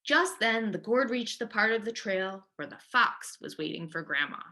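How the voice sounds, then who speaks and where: A woman's voice: mid-range voice, lively intonation, moderate pace, pushed and bright. A woman reading a children's story aloud expressively, as a librarian does at storytime.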